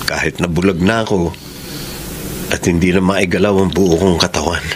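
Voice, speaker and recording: A man's voice: deep voice, steady tone, background noise, lightly acted. A Filipino man acting in a radio drama as a frail, sentimental husband, speaking tenderly and wistfully. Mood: wistful, grateful. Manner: slow, tender, wistful delivery. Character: frail, grateful, sentimental husband, blind and paralysed. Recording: radio drama with music underneath.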